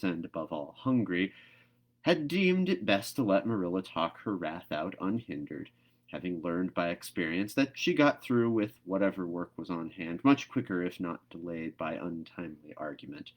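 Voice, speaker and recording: Narrator: male, lower register, expressive reading of classic fiction. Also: moderate pace, dull audio. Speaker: read-aloud narrator.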